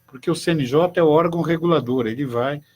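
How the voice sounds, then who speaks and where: An older man, a judge speaking in a formal, measured way as a guest on a radio talk show. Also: fairly high pitch.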